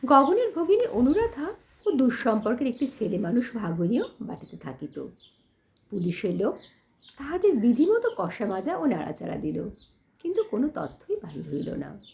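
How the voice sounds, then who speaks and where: A woman's voice: fairly high pitch, big pitch swings, normal speed, vocal fry, a little mellow. A woman narrating a literary audio story expressively, in formal diction.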